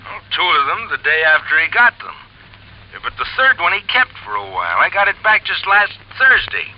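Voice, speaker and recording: A man's voice: sits high, sing-song, a little fast, dull audio. A man playing an obliging, chatty witness in a 1950s radio drama. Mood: helpful, casual.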